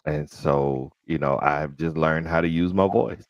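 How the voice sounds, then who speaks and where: Man, very low voice, dry close sound, crisp careful diction. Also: measured pace, dry recording, muffled recording. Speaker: podcast host. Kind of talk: casual interview chat.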